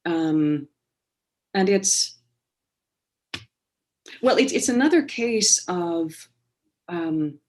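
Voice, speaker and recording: A Canadian woman poet talking over a video call, measured and thoughtful, with many pauses and restarts. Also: on the low side, expressive, darkish tone. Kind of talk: online book launch conversation and poetry reading.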